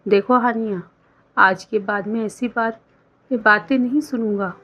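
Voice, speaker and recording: A woman voicing an insistent, smooth-talking, evasive young college boyfriend in a storybook romance. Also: fairly high pitch, fairly even intonation, unhurried. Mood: smitten. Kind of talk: scripted story dialogue. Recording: dull lo-fi sound under music.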